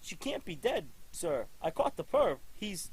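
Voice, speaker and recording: A man's voice: high voice, very animated, a little slow, breathy edge, heavily acted. A man doing a nervous young cop. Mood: pleading. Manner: stammering, pleading. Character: nervous young male police officer.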